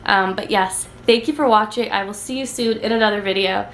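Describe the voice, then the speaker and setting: A woman's voice: medium pitch, fairly quick, fairly bright tone, roomy sound, slight background noise, poor audio. Congested, chatty young woman vlogger. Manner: chatty, gushing, many ums and likes. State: congested, nasal from a cold. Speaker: book vlogger. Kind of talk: casual book review chat.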